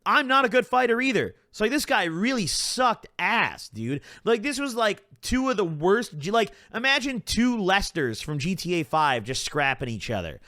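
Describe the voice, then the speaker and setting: A young man spins a rambling, profanity-laced storytime, leaning on "like" and "bro" as he goes. His voice sits slightly higher than most men's. The sound is close and dry, with no room around it. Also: normal speed, hardly any pauses, no echo. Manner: animated, puts on voices when quoting people. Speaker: channel host. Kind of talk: casual storytime monologue.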